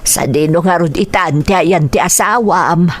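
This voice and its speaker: A woman's voice: lowish voice, normal speed, close to an everyday voice. A woman playing a kindly, sympathetic older neighbour with an Ilocano accent in a radio drama.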